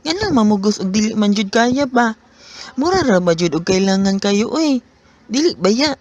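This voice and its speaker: A man's voice: sits high, very animated, normal speed, no room sound, lo-fi sound. A man with a Cebuano accent playing a playful, teasing best friend in a student radio drama. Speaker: friend Bentong.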